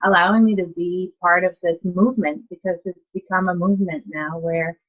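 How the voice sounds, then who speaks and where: Low-voiced woman from Ecuador, muffled call audio. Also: steady tone, brisk. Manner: chatty, many "you know" and "like". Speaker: guest, nurse and healer. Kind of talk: conversational storytelling.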